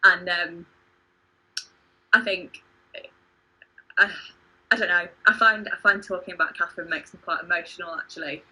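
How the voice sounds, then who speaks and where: A woman's voice: lowish voice, fairly even intonation, normal speed, long pauses, bright, forceful tone, husky, poor audio. A woman chatting casually as a host on a livestream, earnest and hesitant, sometimes poking fun at herself. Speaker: playwright and podcast host. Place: home video call.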